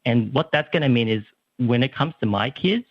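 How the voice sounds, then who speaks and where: A man's voice: medium pitch, expressive, normal speed, slightly rough, dry recording. A young man calling in to a radio talk show, earnest and talking with many restarts and fillers. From Australia. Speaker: caller. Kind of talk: radio talkback call. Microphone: phone. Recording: muffled phone line.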